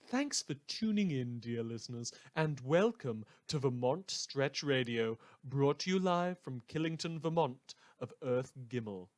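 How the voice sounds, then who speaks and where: A man performs a snide, excitable small-town radio host in an audio drama. His voice sits a little high for a man. He delivers mock news with theatrical sarcasm, shifting between low asides and loud emphasis. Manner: sardonic, theatrical, swinging from muttered asides to outbursts.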